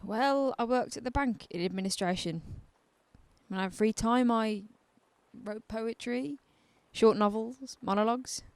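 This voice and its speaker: High female voice, dry close sound, defiant bitter asylum inmate played by an actress, probing and wry, radio drama. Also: measured pace, darkish tone, clear, resonant tone, dry recording. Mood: guarded, reflective. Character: defiant, bitter young woman confined in a mental asylum.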